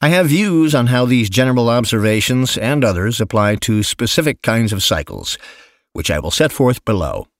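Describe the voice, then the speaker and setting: A man reading an essay aloud as scripted narration, measured and articulate, with emphatic phrasing. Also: medium pitch, sing-song, no room sound. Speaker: narrator reading an investment memo.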